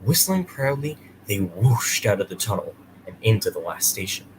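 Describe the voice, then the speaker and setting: A man's voice: medium pitch, slight background noise, poor audio. A man hosting and reading a children's bedtime story aloud. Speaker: storyteller and host.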